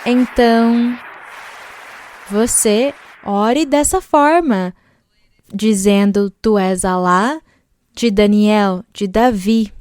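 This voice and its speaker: Woman, church leader, slow deliberate delivery, dry close sound. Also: medium pitch, a little mellow, dry recording. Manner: slow, patient, explaining step by step. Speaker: church leader answering a question. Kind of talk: sermon-style answer. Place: large arena.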